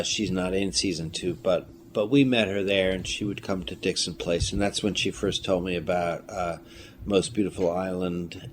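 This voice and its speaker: A man speaking as an interview guest, telling casual anecdotes in an animated, emphatic way. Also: low voice. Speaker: filmmaker and writer, interview guest. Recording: clearly noisy room ambience.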